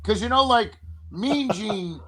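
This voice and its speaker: Rambling, swearing podcast host. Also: male voice, high voice, expressive, slow pace, bright, forceful tone. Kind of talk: casual podcast chat.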